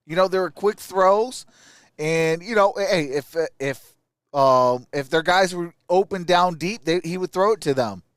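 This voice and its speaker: A man's voice: sits high, a little slow, dry recording, studio quality. A man hosting a live sports stream, giving casual, opinionated commentary and answering viewer comments.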